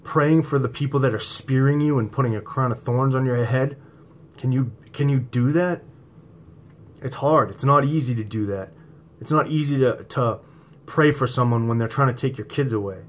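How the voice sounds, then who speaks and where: A man in his forties with a New England accent, preaching informally to his viewers from home and talking into a webcam, swinging from impassioned, rising emphasis to a quieter conversational tone. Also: mid-range voice, moderate pace, slight background noise. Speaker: religious video host. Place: home room indoors at night. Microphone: webcam or laptop camera from below.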